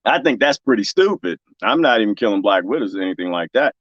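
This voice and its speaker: A man's voice: fairly low pitch, fast, no room sound, lo-fi sound. A man with a Texas accent chatting casually with his viewers while gardening, joking and laughing often. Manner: animated and joking, laughs often, talks straight to his chat. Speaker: livestream host reading viewer comments. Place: garden, planting cuttings.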